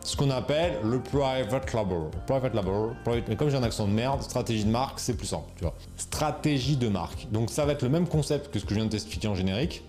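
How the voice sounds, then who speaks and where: A man's voice: medium pitch, fast talker, few pauses, darkish tone, faint music behind. A man coaching viewers on selling online, talking casually with slang, fillers and restarts. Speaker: e-commerce coach. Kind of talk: casual explainer video.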